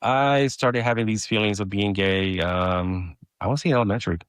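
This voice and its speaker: A man's voice: on the low side, moderate pace, clear, resonant tone, dry recording, studio quality. A middle-aged man from Mexico whose first language is Spanish, speaking as a guest and often hesitating and restarting as he tells his story. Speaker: podcast guest. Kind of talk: personal storytelling in conversation.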